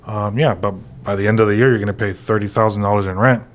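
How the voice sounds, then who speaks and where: A man's voice: on the low side, fast talker. A man in his forties, co-hosting a real estate chat show, talking casually with lots of fillers. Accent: American. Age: 40s. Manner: relaxed, rambling with many fillers. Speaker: real estate podcast co-host.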